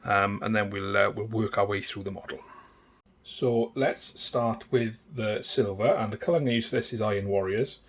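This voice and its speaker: A man with a thick Welsh accent talking through a painting tutorial in a relaxed, chatty way, with many fillers and restarts. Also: on the low side, moderate pace, slight background noise. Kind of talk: casual step-by-step tutorial narration.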